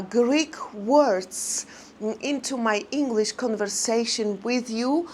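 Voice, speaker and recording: A woman vlogger chatting casually to her viewers in non-native English, rambling and halting with many fillers. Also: fairly high pitch.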